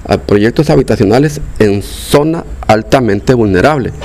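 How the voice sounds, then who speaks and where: A man's voice: mid-range voice, animated, normal speed, darkish tone, slight background noise. Hesitant older Costa Rican man. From Costa Rica. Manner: hesitant, many fillers and restarts. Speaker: local resident interviewed. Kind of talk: field interview, casual. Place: outdoors in a neighborhood street.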